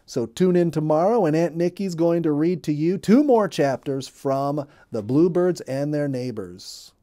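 A man's voice: a little high, a little slow, dark tone. A man in his fifties reading a children's story aloud as narrator. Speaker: storybook reader. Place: small room indoors.

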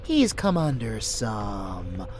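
A man's voice: on the low side, huge pitch swings, drawn-out words, a little mellow, slight background noise, heavily acted. A man voicing a rebellious, cocky vampire lover in an ASMR roleplay, with a casual, teasing, intimate manner. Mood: excited, conspiratorial. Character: cocky young-sounding rebellious male vampire lover, unhinged. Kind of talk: ASMR roleplay audio drama.

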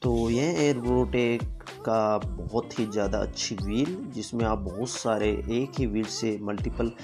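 A man's voice: on the low side, normal speed, over music. A man giving a rambling voice-over review of woodworking tools, repeating himself often. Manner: rambling, repetitive filler-heavy explanations. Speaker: product reviewer.

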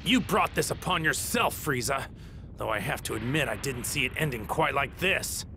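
A man's voice: medium pitch, expressive, normal speed, creaky, noisy background. A man voicing a righteous, battle-worn warrior hero in an anime game, with stern, intense and resolute delivery. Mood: stern, resigned. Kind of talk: dramatic anime game voice acting. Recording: music behind. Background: battle music.

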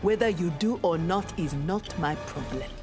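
A defiant, honorable warrior woman from a fighting game, voiced by an actress. Her voice sits fairly low, and she speaks firmly and with dignity, with faint music behind her. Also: normal speed, lightly acted. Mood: composed. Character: defiant, honorable armored female ninja warrior. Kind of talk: scripted video game dialogue.

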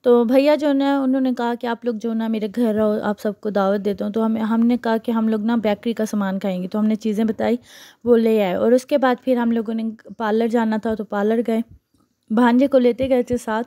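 A woman's voice: a little high, steady tone, fairly quick, mellow, no echo, studio quality. A young adult woman, chatting casually as she narrates her own vlog. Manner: chatty, conversational, with frequent fillers. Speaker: vlogger.

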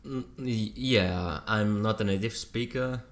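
A man's voice: lowish voice, a little slow. A man in his twenties from Austria, speaking English with an Austrian German accent as a podcast guest, hesitant and full of fillers. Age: 20s. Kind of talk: casual chat.

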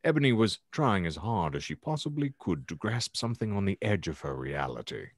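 A man reading fiction aloud in a theatrical, ornate storytelling style with dramatic pauses. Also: sits low, big pitch swings, no room sound, clean studio sound. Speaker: podcast host reading aloud his own fan fiction. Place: indoor room at home, video call.

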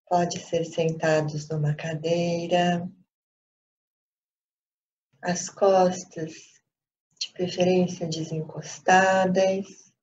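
A Brazilian woman leading a guided meditation as a teacher, speaking calmly in short phrases with long pauses. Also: on the low side. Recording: dull lo-fi sound with light background noise.

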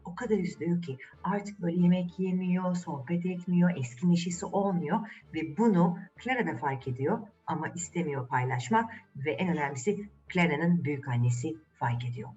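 A woman's voice: on the low side, fairly quick, few pauses, mellow, distant mic, lo-fi sound. A woman telling a fairy tale to children conversationally, addressing them directly with questions. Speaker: children's storyteller.

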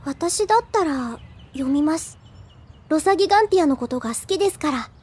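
A woman's voice: high-pitched, animated, brisk, darkish tone. A woman playing an earnest, deferential younger schoolgirl in an audio drama, polite, sweet and a little timid. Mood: earnest, determined. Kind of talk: Japanese audio drama acting.

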